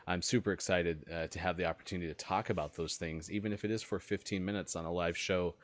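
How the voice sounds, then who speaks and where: A man's voice: low-pitched, fairly even intonation, fast talker. A man hosting a live web show, thanking guests and making announcements in an upbeat, friendly way with frequent uhs. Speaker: host.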